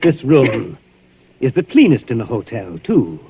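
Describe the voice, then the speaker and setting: An actor playing a quietly menacing, falsely solicitous captor with a rough voice, in 1940s radio drama style. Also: male voice, mid-range voice, big pitch swings, measured pace, noisy background. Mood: insinuating menace. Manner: insinuating, mock-concerned. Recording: dull, lo-fi old radio recording.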